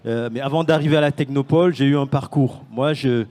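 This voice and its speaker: A man's voice: medium pitch, a little fast, a little mellow. Hesitant Senegalese-born man on a panel. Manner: hesitant, many fillers and restarts. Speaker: startup founder. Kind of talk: panel talk.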